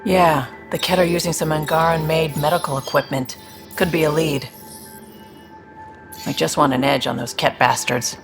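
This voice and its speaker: A man's voice: a little high, fairly even intonation, moderate pace, creaky, over music. An actor playing a guarded, world-weary man in a video game, plain-spoken and wry. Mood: protective, grim. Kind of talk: naturalistic video game acting.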